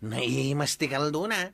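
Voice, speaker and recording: A man's voice: on the high side, creaky, fairly bright tone, no echo, full character voice. A man playing a cheerful, nosy neighbor who asks curious questions in a comic radio drama.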